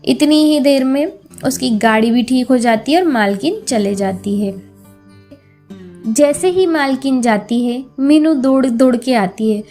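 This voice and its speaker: A woman's voice: high-pitched, measured pace, dark tone, faint music behind. A woman storyteller giving scripted narration of a children's moral story.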